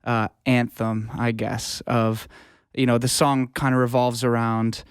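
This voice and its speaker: Dude being interviewed, medium pitch, really dry recording, super informal, rambles through his explanations. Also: level tone, a little slow, smooth, dry recording. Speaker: guest, music producer and audio engineer. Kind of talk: casual interview chat.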